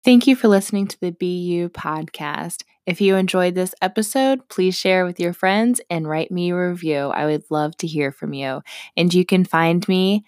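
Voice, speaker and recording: Female podcast host, mid to slightly low register, casual conversational delivery. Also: normal speed, a little mellow.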